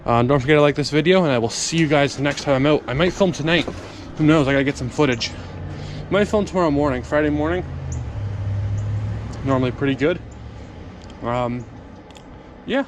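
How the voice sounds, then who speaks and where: A man's voice: on the high side, brisk, long pauses. A man with a sniffly, runny nose chatting casually and plainly to viewers as he vlogs outdoors on his collecting round. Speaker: vlogger collecting bottles and scrap. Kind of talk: casual outdoor vlog chat. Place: outdoors on residential streets. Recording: clear outdoor street and vehicle noise.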